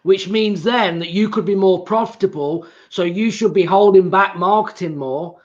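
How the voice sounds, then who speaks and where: Brief: co-host investor, explanatory, fairly high voice, muffled lo-fi sound. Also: male voice, moderate pace. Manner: explanatory, tags points with "right?". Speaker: co-host real estate investor. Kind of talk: casual live panel chat. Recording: dull lo-fi sound.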